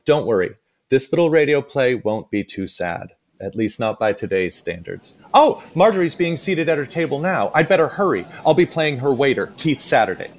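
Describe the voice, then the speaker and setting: An actor playing an effusive, awkward, self-correcting steakhouse waiter who narrates a comic radio play. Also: male voice, medium pitch, sing-song, normal speed. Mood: confiding. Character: chatty, over-eager, awkward male steakhouse waiter and narrator. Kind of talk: radio play comedy acting.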